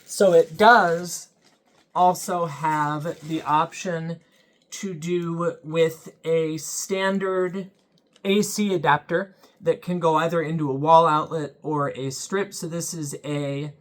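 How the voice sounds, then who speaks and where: Measured, unhurried product reviewer. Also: medium pitch. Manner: measured and explanatory, pausing with ums.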